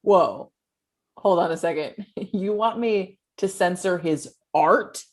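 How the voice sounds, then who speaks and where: A woman's voice: on the low side, slow. A woman playing a brash, shady private-eye type in her thirties, pushy and emphatic, in a comedy table read. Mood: conspiring.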